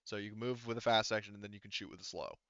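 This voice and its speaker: A man's voice: on the low side, fairly even intonation, rapid-fire, vocal fry, bright, forceful tone, clean tone, no echo, dull audio. A man with an informal, sweary way of talking, running an online tabletop roleplaying game as game master.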